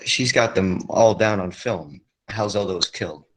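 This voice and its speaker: A man playing a quiet, lovelorn but stubborn circus man in a 1940s radio drama, hesitant and repeating himself. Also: fairly low pitch, moderate pace, poor audio. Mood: accusing.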